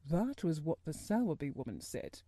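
Woman voicing a weary, mellow, miserable gentleman. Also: low-pitched, big pitch swings, slightly rough, dry recording, pitched below the performer's own voice, full character voice. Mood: distracted. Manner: absent-minded, halting, worried. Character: weary, fretful, miserable middle-aged man, a distracted guardian.

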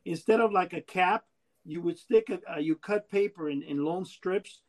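A middle-aged man from Cuba chatting casually and excitably, often repeating his words. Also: high-pitched, moderate pace. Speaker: toy and memorabilia collector. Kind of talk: casual chat.

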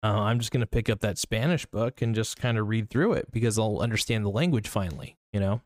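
A man's voice: on the low side, fast talker, a little mellow, no room sound, studio quality. A young man hosting a casual solo podcast, rambling through his thoughts with lots of fillers and restarts. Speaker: podcast host.

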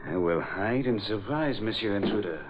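Actor as low-voiced, sly French houseman, old radio. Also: animated, normal speed, lightly acted. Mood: furtive, urgent. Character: sly French houseman, furtive, armed and menacing. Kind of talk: 1940s radio acting. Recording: dull, noisy vintage radio recording.

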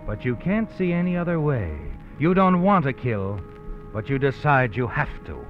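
An actor playing a knowing, sardonic narrator who taunts the protagonist in old-time radio suspense style. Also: male voice, mid-range voice, sing-song, moderate pace, close to an everyday voice. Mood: knowing, ominous. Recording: muffled old radio recording with music.

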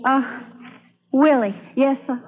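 A woman's voice: sits high, slow pace. A woman in a vintage radio drama playing a nervous, deferential young runaway boy who stammers in folksy dialect. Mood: uneasy. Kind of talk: 1950s radio drama acting. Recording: muffled old radio broadcast.

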